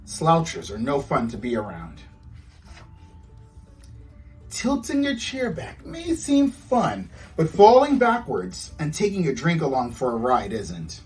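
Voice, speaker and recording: A man reading his children's storybook aloud with expressive, storytelling delivery. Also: on the high side, far from the mic. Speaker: author reading own book aloud.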